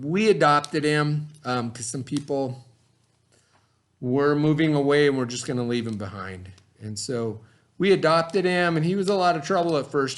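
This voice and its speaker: A man reading aloud and talking to children in simple, expressive phrasing, like a teacher. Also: medium pitch. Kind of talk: children's story read-aloud, then informal talk to children.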